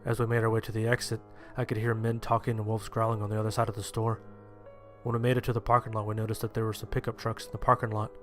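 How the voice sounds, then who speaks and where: A man's voice: lowish voice, monotone, quick pace, lightly acted. A man playing a sheltered, anxious young survivor, narrating his story in the first person in a plain-spoken way. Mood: narrating, tense. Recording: music behind the voice.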